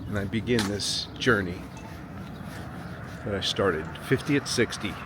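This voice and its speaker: A man's voice: fairly low pitch, a little slow. A man of sixty casually narrating his travel vlog outdoors, rambling and a little self-deprecating. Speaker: travel vlogger. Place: outdoors by a waterfront. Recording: loud outdoor ambient and vehicle-like noise.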